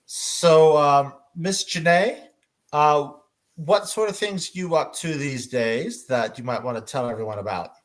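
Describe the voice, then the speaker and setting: A man moderating an informal fan panel as its host, speaking in halting, hesitant phrases. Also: a little high.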